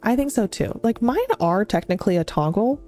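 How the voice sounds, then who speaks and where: A young adult woman streaming as a VTuber, talking in a chatty, rambling way with many fillers and restarts. Her voice is fairly deep. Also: lively intonation, fairly quick, mellow. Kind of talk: casual chat stream. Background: faint background music.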